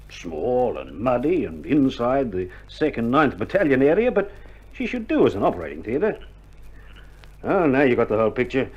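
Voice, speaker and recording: A man's voice: on the low side, darkish tone, husky, heavily acted. An actor playing a wry, reassuring Australian army male nurse in a wartime radio drama, with laconic, joking banter, heard on an old vinyl record. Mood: brisk, reassuring. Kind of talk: 1960s radio drama acting. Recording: old vinyl recording, dull band, faint noise.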